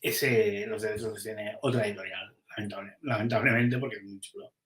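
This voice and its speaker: Low-voiced man in a roomy echo. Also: fairly even intonation, a little fast, a bit bright. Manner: thinking aloud, many restarts and hesitations. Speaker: comics publisher. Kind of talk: casual podcast chat.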